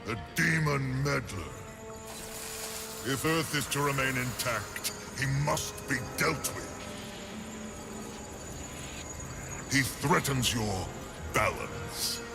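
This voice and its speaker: An actor playing a menacing, scheming ancient demon lord in a video game, speaking in a haughty, deliberate way. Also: male voice, medium pitch, sing-song, full character voice. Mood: cold scheming. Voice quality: gravelly. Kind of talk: dramatic video game acting. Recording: loud music under the voice.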